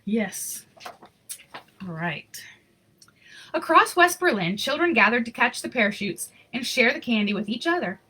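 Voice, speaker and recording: A woman reading a children's picture book aloud in an expressive, unhurried, sentimental way, with casual asides. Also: fairly high pitch, slight background noise, rough recording. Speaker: storybook reader.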